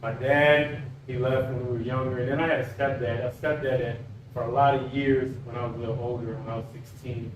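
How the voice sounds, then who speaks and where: A man's voice: medium pitch, flat delivery, brisk, echoey room, distant mic, a little hiss or hum, low-quality recording. A Cuban-born man in his forties hosting a casual podcast interview, encouraging and repeating words as he talks. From Cuba. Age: 40s. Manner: encouraging, repeats words while searching for them. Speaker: podcast host.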